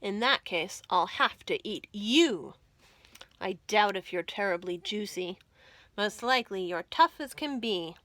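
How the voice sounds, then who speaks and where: A greedy, bossy rat robber from a children's storybook, acted out by a woman. He boasts and threatens in a forceful voice with lively swings of pitch, recorded close and dry. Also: unhurried, no echo. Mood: menacing, boastful. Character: greedy, menacing male rat highway robber. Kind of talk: children's storybook read-aloud.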